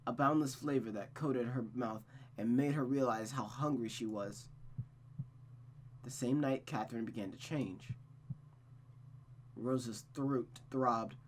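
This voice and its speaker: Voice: mid-range voice, measured pace, long pauses, slight background noise. A host reading a visual novel aloud in dramatic narration, stumbling and rereading phrases, with casual asides.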